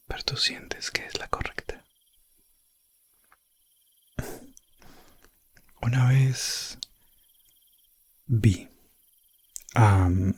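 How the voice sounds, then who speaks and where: A man playing a calm, courteous elf prince adventurer in a soft, gentle ASMR fantasy roleplay, slipping in and out of whispers. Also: on the low side, slightly rough, no echo, heavily acted. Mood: gentle, intimate. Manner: hushed, gentle, drifting in and out of whisper.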